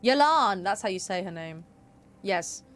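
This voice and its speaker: A woman's voice: medium pitch, animated, unhurried, a bit bright. Woman streamer, chatty and playful, light game music underneath. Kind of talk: casual gameplay commentary.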